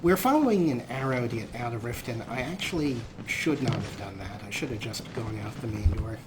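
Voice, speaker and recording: A man gives chatty, wry gameplay commentary with a showman's flair. His voice sits at a middle pitch, with clearly audible noisy game ambience underneath. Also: lively intonation, a little fast. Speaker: host of a video game let's play.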